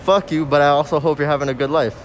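Young male interviewee, lightly high voice, casual candid talk, faint background noise. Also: steady tone, quick pace. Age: young adult. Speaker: street interview guest. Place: indoor shopping mall. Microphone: handheld recorder or phone held out to him.

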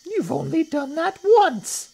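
A woman's voice: extremely high pitch, lively intonation, takes it slowly, creaky, dark tone, voice pushed up, full character voice. A woman voicing a blunt, contrary, not-so-big crocodile in a storybook, with an indignant, scolding manner. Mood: scornful. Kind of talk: storybook character voice.

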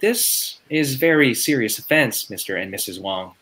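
Sitcom principal man acting: bright, forceful, officious and flustered. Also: medium pitch, lively intonation, moderate pace, close to an everyday voice. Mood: grave. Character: stern, flustered male school principal.

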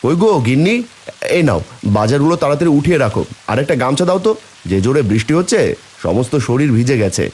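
A man's voice: mid-range voice, animated, fast talker, darkish tone, natural voice. A man voicing a mild, reassuring village husband and father in a fairy-tale cartoon. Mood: homely.

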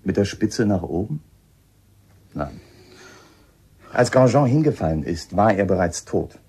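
A man's voice: fairly low pitch, sing-song, unhurried, a little mellow, husky, exaggerated voice. A man acting a shrewd, polite police inspector in a radio drama, speaking in a probing, matter-of-fact, slightly hesitant way. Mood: probing, firm.

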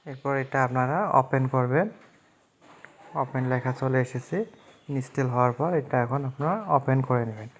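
A man's voice: mid-range voice, steady tone, moderate pace. A man narrating a phone app tutorial step by step, in a repetitive, instructional way. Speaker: tech tutorial host.

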